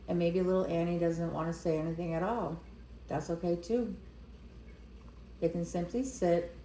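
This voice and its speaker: Low, mellow woman guiding a meditation. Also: reverberant, a little hiss or hum, poor audio. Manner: gentle, unhurried, short pausing phrases. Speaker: meditation guide. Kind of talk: guided meditation, slow soothing narration.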